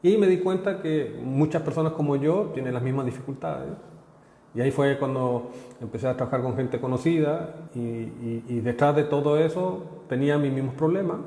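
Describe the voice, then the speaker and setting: A Chilean man giving a live workshop talk as a consultant. He speaks haltingly, repeats words and tags his phrases with checks to the audience. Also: mid-range voice, warm tone. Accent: Chilean, partly Mexican. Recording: echoey room with long reverb.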